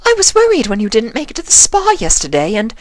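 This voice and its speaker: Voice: medium pitch, wildly swooping pitch, quick pace, no echo, voice pushed up. A storyteller voicing an elegant, fussy, affectionate lady pony with refined, dramatic phrasing. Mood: concerned.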